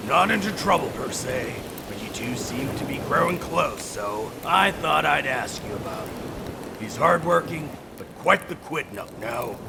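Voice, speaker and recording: Gravelly, mad sea captain. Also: male voice, medium pitch, animated, unhurried, heavy background noise. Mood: slyly probing. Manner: florid, pompous, falsely chummy. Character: mad ship captain, theatrically jovial, menacing underneath. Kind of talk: audio drama acting.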